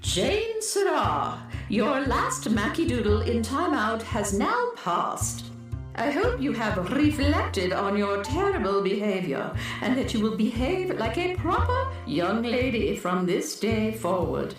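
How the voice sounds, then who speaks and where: A woman's voice: fairly high pitch, huge pitch swings, takes it slowly, full character voice. Woman playing a stern, echoing space governess. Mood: formal, scolding. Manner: stern, formal, prim. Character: stern, prim governess running time out. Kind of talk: children's audio drama acting. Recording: heavy echo, music behind.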